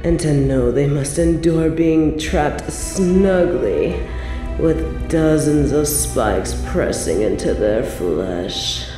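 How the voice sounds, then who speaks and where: A woman's voice: low voice, animated, takes it slowly. A woman playing a cruel, possessive medieval evil queen in an audio drama, speaking in regal, mocking, theatrical and formal phrases. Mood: sadistic delight. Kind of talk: scripted roleplay audio drama. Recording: roomy reverb, music underneath.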